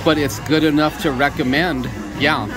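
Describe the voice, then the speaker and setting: An upbeat, chatty man reviews his meal in a busy restaurant, his voice sitting a little high for a man. Clear background music plays over the steady chatter of diners, picked up by a handheld camera mic. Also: moderate pace. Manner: upbeat, chatty, simple praise words. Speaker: vlog host. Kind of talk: casual food review vlog. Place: busy restaurant dining room. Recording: restaurant music and crowd noise.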